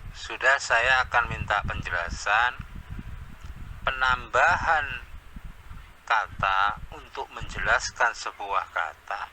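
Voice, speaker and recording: A man's voice: medium pitch, takes it slowly, pauses often, sharp tone, slight background noise, rough recording. A man in an informal religious debate, speaking as a challenging, questioning debater.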